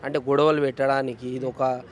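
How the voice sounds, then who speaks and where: A man's voice: mid-range voice, flat delivery, brisk. A man with a Telangana Telugu accent giving casual, emphatic opinions on the street, often repeating his points. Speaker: member of the public interviewed. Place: outdoors on a city street.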